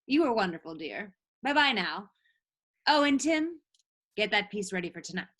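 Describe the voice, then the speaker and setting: A woman's voice: mid-range voice, very animated, moderate pace, a bit bright. A woman playing a saccharine, condescending campaign press handler in a theatrical comedy. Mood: sugary, dismissive. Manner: sugary, condescending. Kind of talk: theatrical musical comedy acting.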